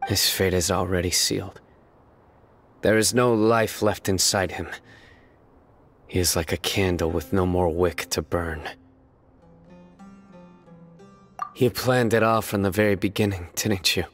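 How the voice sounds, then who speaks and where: A low, composed voice of a stoic young enforcer. He speaks formally and sternly, unbending, in dramatic game style, over faint music. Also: normal speed, a bit bright. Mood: somber. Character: stoic, unyielding young male law enforcer. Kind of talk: dramatic video game voice acting. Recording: music behind the voice.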